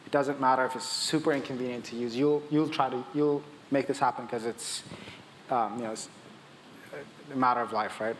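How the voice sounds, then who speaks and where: A man from Ethiopia speaking as a startup founder on a conference panel, conversational, often restarting his sentences mid-thought. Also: medium pitch. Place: conference stage in a large hall. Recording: echoey hall with long reverb, audible noise.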